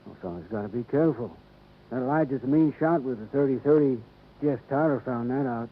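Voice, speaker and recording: A tinny old radio recording with faint noise. An actor plays a rustic American male sheriff, stubborn and folksy, speaking at a middling pitch with plain confidence in 1950s drama style. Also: brisk, lightly acted. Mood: folksy, cautionary.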